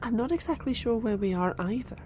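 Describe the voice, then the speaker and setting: An actress playing a calm woman held captive on an alien ship, speaking in a soothing, steady way. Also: fairly high pitch, brisk, faint music behind, lightly acted. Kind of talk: audio drama acting.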